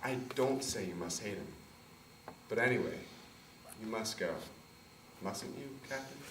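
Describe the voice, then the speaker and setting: A man's voice: fairly low pitch, lively intonation, normal speed, fairly bright tone. An actor playing a proud, passionate young Italian immigrant man, speaking with an Italian accent and swinging from tender murmurs to impassioned outbursts. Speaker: stage actor. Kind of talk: stage play performance. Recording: roomy, distant mic, faint noise.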